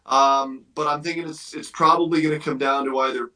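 A man's voice: fairly high pitch, steady tone, fairly quick, pushed and bright, recorded from a distance, rough recording. A man giving opinionated hockey analysis as a guest on a sports radio interview, conversational and emphatic, thinking aloud as he goes.

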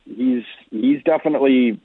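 Husky man on a thin phone line. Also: medium pitch, normal speed, no room sound. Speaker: hockey analyst guest. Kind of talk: off-the-cuff sports analysis. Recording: muffled, phone-like radio call-in line.

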